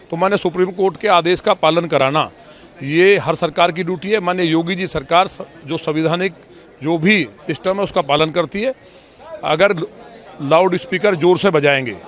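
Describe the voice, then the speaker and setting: A man with a North Indian accent, a politician giving a combative, rhetorical reaction to reporters. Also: high-pitched.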